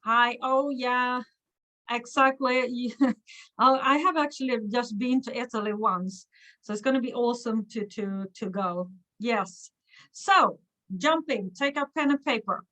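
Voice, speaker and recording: Higher-voiced Swedish woman hosting a livestream. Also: unhurried, bright, forceful tone, rough recording. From Sweden. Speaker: online business coach and livestream host. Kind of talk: live stream talk to viewers. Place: hotel room.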